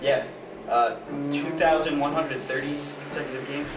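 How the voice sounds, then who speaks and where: A young man playing an easygoing baseball star, giving halting, understated answers in a radio interview sketch. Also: medium pitch, steady tone, lo-fi sound, lightly acted. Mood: modest, easygoing. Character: easygoing male baseball star Lou Gehrig. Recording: echoey distant mic under music. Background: instrumental music.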